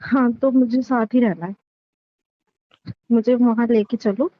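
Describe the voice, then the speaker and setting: A woman acting as a betrayed young wife in a domestic audio drama speaks in a fairly high voice, curt and stubbornly insistent. The sound is dry and close, with a slightly muffled lo-fi finish. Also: steady tone, unhurried, no room sound, close to an everyday voice. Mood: hurt, insistent. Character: hurt, betrayed pregnant wife, stubborn and demanding. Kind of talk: naturalistic Hindi relationship audio drama, staged as a private conversation.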